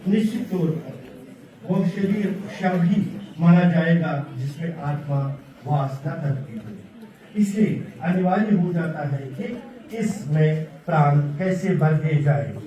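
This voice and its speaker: A man delivering a formal speech in a large hall, slow and declamatory, stressing each item he lists. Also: fairly high pitch, dark tone, far from the mic. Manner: slow, declamatory, listing with emphasis. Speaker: speaker at a function. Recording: echoey hall, voice from afar.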